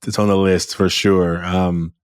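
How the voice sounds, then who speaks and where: Host, male, low loud voice, animated chat, dry close sound. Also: measured pace, smooth, no echo. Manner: animated, restarts often, loud voice. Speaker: podcast host. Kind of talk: casual interview chat.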